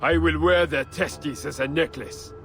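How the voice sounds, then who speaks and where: A man's voice: fairly high pitch, measured pace, a bit bright, faint music behind. A man playing a crude, boastful village blacksmith in an ancient Egyptian video game scene. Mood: blustering, vengeful. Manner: coarse, salty, blustering. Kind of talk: video game cutscene acting.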